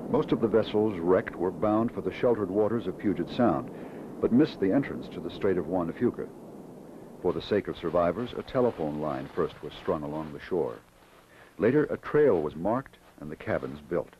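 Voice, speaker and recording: Male documentary narrator, very low and animated, vintage muffled soundtrack with faint hiss. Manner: measured, informative. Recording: dull lo-fi 1960s film soundtrack with faint hiss.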